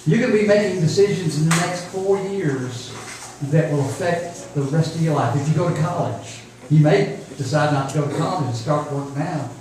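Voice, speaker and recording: Echoey high-pitched older preacher man. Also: normal speed, a little mellow. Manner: folksy, rhetorical repetition. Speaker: preacher at a youth camp. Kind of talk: informal sermon. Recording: echoey room, distant mic, faint noise.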